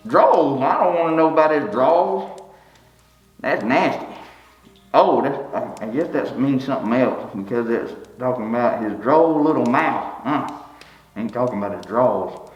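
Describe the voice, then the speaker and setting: A man playing a folksy, bald country fellow who reads a holiday poem aloud, tripping over words and cracking jokes between verses. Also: medium pitch. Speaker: storyteller reading aloud. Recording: roomy, slightly dull recording.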